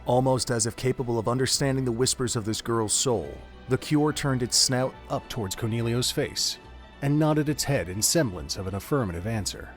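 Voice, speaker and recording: A man's voice: medium pitch, normal speed, soft background music. A man reading scripted third-person narration as the storyteller of an audio drama.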